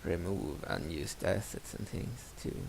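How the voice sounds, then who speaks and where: Halting man, deep and gravelly. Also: very animated, slight background noise. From Sweden. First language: Swedish. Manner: halting, self-interrupting, frequent stammered repeats. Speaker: gameplay video maker. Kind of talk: let's play commentary. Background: loud game music.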